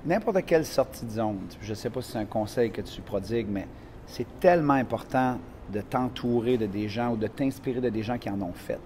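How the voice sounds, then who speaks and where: A man with a Quebec French accent tells stories in a relaxed chat. He talks in a middle pitch and brings the scenes to life with lively voices and quotes. A faint hiss of noise sits behind him. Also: a little fast. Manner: animated, acts out scenes and quotes. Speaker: motivational speaker, guest. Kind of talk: casual storytelling chat.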